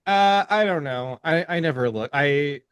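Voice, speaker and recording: Podcast co-host, man, fairly high and very lively voice, quick to laugh, dry sound. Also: smooth, dry recording. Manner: laughs often, stammers on restarts. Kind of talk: casual conversation.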